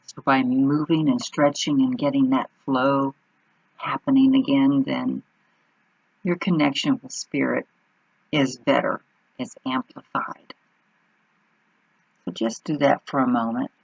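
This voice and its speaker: A woman leading an unscripted guided meditation in an unhurried manner, pausing between short phrases. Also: very deep voice, fairly even intonation, gravelly, a little mellow, breathy edge, no echo, highs cut off.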